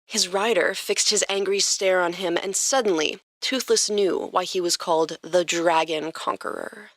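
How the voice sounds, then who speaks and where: A woman's voice: lowish voice, fairly even intonation, normal speed, a bit bright, no echo, studio quality. A woman hosting a podcast and narrating a story expressively from a script.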